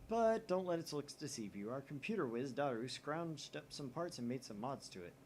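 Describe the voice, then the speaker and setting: Guy reading a visual novel out loud at home, mid-pitched, joking around a bit, faint hum behind. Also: sing-song, normal speed. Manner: playful, drops into wry asides. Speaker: let's play host reading a visual novel. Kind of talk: reading game text aloud with banter.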